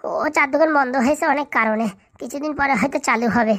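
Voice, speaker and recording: Woman playing a fast-talking, aggrieved cartoon labourer. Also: high voice, fairly bright tone, dry recording, clean studio sound. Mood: puzzled, evasive. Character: aggrieved village labourer down on his luck. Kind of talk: comedy cartoon dialogue.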